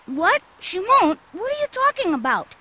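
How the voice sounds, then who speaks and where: Young game boy, very high voice, lively pitch, naive, cheerful, inquisitive. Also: normal speed, full character voice. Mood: curious. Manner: earnest, inquisitive. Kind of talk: video game cutscene voice acting.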